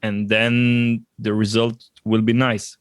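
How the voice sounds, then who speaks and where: A man's voice: medium pitch, level tone, slow, clear, resonant tone, no echo, clean studio sound. A man from Belgium, speaking in a casual interview as a podcast guest. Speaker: podcast guest, sports journalist and teacher.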